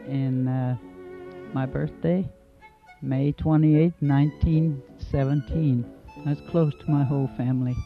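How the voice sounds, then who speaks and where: An older man from Germany, a native German speaker, recalling his past in an oral history interview, unhurried and full of fillers. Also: mid-range voice, steady tone. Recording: dull lo-fi recording with music underneath.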